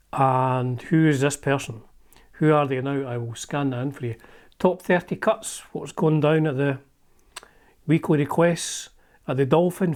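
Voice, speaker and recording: A man's voice: fairly high pitch, darkish tone. A middle-aged man with a Scottish accent, hosting and chatting casually as he flips through an old magazine, rambling with frequent ums and restarts. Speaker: host.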